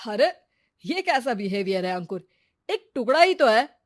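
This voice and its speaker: A woman's voice: a little high, sing-song, normal speed. A woman voicing a concerned elder brother in a cartoon moral story, earnest and moralizing. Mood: reproving. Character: responsible, concerned elder brother, patiently reproving.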